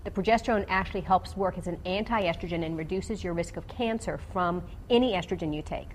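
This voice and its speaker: A woman doctor giving explanatory, technical medical advice to callers on a radio call-in show, with hesitant pauses. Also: fairly low pitch. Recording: clearly noisy background.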